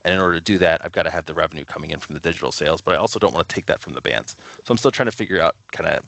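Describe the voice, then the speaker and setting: A man talks casually as an interview guest. His voice is low and gravelly with fry, and the sound is close and dry. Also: very fast, a bit bright, dry recording. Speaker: record label co-founder, interview guest.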